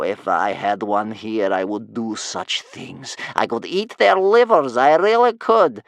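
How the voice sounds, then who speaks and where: A man voicing a scary, furious old grandmother with a rasping, stern, doom-filled delivery for a storybook. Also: medium pitch, dry recording, studio quality, pitched above the performer's own voice. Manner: stern, venomous, loud declamation.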